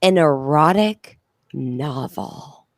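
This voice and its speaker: A woman's voice: deep voice, lively intonation, drawn-out words, dry recording. A woman co-hosting a comedy show, chatting casually in an effusive, slangy way. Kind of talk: casual group banter.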